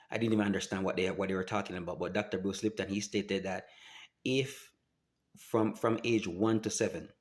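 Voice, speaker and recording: A man, telling his personal story to camera in a halting, earnest way with many restarts. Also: low-pitched. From Jamaica. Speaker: vlogger.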